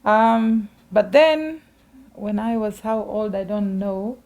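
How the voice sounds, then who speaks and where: A woman's voice: medium pitch, lively intonation, takes it slowly, darkish tone. A woman from Tanzania in her forties, a pastor, chatting through her life story in an interview and asking follow-up questions.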